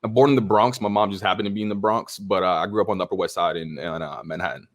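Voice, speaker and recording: A man's voice: sits low, fairly even intonation, rapid-fire. A man from New York City chatting casually as a podcast guest, telling jokey stories with lots of fillers. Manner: joking, storytelling, heavy fillers. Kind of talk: casual panel chat.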